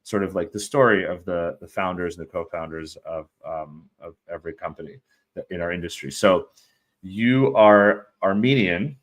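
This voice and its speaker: A man's voice: deep voice, normal speed. A man hosting a podcast interview, enthusiastic and rambling, with frequent restarts. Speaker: podcast host.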